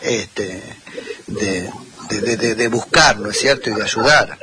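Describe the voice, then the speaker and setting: A man's voice: lowish voice, slow pace, background noise. A man hosting a radio interview, hesitant and stumbling, often adding tag questions. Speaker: radio host.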